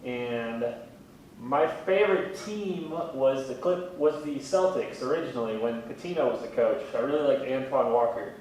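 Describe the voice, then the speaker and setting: A man in his thirties chatting casually in an interview, with a wry, gently self-deprecating way of talking. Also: expressive, normal speed. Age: 30s. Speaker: museum communications specialist, interviewee. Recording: very echoey room, distant mic, faint rumbling noise.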